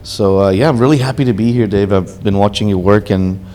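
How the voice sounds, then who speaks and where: A young man from Hong Kong with a low-set voice, speaking earnestly and candidly as a podcast guest. He tells his own story in a loose, conversational way, often filling pauses with "you know". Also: mellow. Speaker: podcast guest, social worker.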